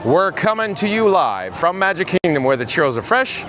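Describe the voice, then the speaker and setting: A hoarse man with a raspy voice hosting a live theme park vlog outdoors, chatting and reading viewer comments aloud. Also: a little high, very animated, moderate pace, noisy background. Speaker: livestream host.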